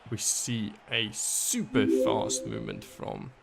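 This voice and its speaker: A man casting a live video game match, his voice raspy and hoarse, thinking aloud through the plays. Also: on the low side, huge pitch swings, takes it slowly, very noisy. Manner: analytical, thinking aloud, thanks viewers. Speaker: game caster.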